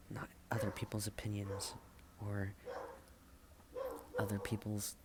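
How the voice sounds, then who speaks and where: A man performing spoken-word slam poetry in a halting manner, with dramatic pauses, repeated phrases and hushed endings. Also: fairly low pitch, gravelly, background noise. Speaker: slam poet.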